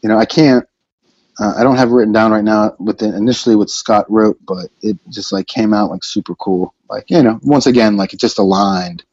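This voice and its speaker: Rambling low-voiced man on a podcast. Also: fairly quick, a little mellow, no echo, muffled recording. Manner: rambling, lots of fillers and restarts. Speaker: band member, vocalist and lyricist. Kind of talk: casual podcast interview.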